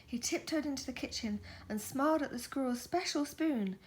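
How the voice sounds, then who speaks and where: A woman reading a picture book aloud in a soft ASMR style, gentle and coaxing, slipping into breathy whispers. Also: sits high, moderate pace.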